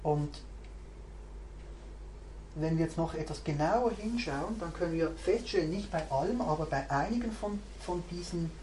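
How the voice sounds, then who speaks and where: A woman's voice: low voice, lively intonation, moderate pace, warm tone. A woman, a mindfulness teacher giving a reflective, searching talk to a group and thinking aloud as she goes. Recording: roomy recording, faint background hiss.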